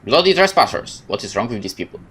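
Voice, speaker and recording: A man reading a visual novel aloud as a let's player, in expressive, dramatic first-person narration with casual asides. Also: medium pitch, quick pace.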